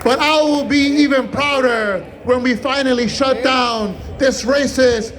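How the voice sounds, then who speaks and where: A man giving an impassioned, declamatory protest speech with emphatic pauses. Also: very high-pitched, very noisy. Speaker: protest speaker, activist. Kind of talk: rally speech. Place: outdoor street protest. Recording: loud rally PA with crowd and music. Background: crowd and music.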